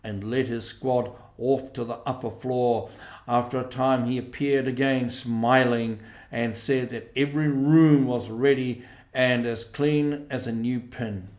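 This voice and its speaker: A man's voice: medium pitch, fairly even intonation, measured pace, a little mellow, echoey room. A man reading a storybook aloud as a scripted narration. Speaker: audiobook narrator.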